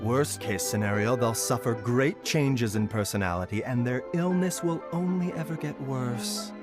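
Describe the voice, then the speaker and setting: A man's voice: medium pitch, lively intonation, moderate pace, natural voice. Man playing a suave, sly, lilting doctor. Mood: learned, explanatory. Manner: courtly, theatrical, wry. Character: urbane male pharmacy doctor, suave, teasing and sly. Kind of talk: video game character dialogue. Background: game music.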